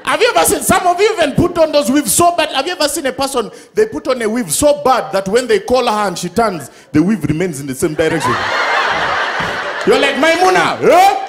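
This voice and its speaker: A middle-aged man with a Ugandan accent doing a loud, playful stand-up set on a big studio stage with a handheld mic. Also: very high voice, sing-song, fairly quick, a little hiss or hum. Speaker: stand-up comedian. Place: large studio stage with live audience. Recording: live stage sound with crowd and music. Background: audience laughter and music.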